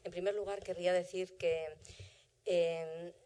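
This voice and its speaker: A middle-aged woman from the Basque Country, Basque by mother tongue, lectures as an invited historian of medicine. Her voice sits on the low side and stays fairly level. She chooses her words with care and builds her points slowly and thoughtfully. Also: fairly even intonation, mellow, smooth. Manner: reflective, thoughtful, carefully worded. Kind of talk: formal conference lecture.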